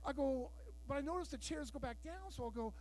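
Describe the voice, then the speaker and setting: A man in his fifties with a very high voice works through a crude stand-up set. He tells his stories loudly and acts out every exchange himself, salty language and all. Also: expressive, fairly quick, slight background noise. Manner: loud, bawdy storytelling with acted-out dialogue. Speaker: stand-up comedian. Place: live show room with audience.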